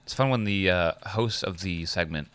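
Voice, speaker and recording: A man's voice: sits low, moderate pace, a bit bright, clear, resonant tone. A young man co-hosting a podcast in casual chat, joking and laughing often. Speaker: podcast co-host.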